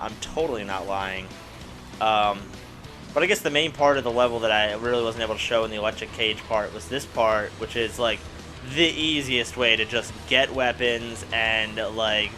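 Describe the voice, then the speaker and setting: A man who is sick with a raspy voice, playing a car combat game and rambling through casual commentary with lots of fillers. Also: on the low side, normal speed, music in the background. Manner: rambling, excitable, many fillers. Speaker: let's play gamer. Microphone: desk microphone at home.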